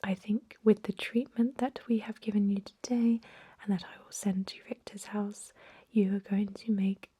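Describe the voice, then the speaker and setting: Female ASMR performer as a kindly vampire medic: breathy whisper, soothing and reassuring, intimate dry close-mic sound. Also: medium pitch, flat delivery, normal speed, no room sound. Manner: gentle, soothing, hesitant bedside patter. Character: gentle, reassuring female vampire emergency doctor. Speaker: ASMR roleplayer playing a vampire doctor. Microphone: close microphone.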